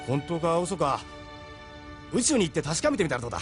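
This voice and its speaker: A man's voice: a little high, big pitch swings, fast talker, soft background music. A man voicing a seasoned, middle-aged ship captain in an audio drama, persuasive, frank and worldly. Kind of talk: Japanese audio drama acting.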